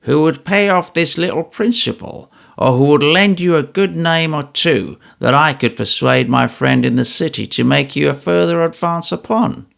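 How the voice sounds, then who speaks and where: Wheezing, miserly old man, high and strained, gasping out wheedling or sputtering lines, put on by a male narrator; gravelly catch in the throat, timbre shifted away from the reader's own. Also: normal speed, hardly any pauses, a bit bright. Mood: sly. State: out of breath.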